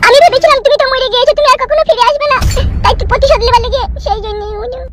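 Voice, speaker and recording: Squeaky high cartoon wife, wheedling and defensive, talks really fast. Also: female voice. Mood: pleading. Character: pleading, sly unfaithful village wife. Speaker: cartoon voice actor. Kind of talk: amateur comedy cartoon dialogue.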